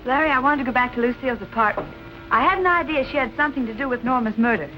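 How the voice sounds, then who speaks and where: An actress playing an earnest, brave young heroine in the polite, clipped manner of 1940s film noir. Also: female voice, high voice, very fast, lo-fi sound, close to an everyday voice. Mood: earnest, uneasy. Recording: vintage film soundtrack over music.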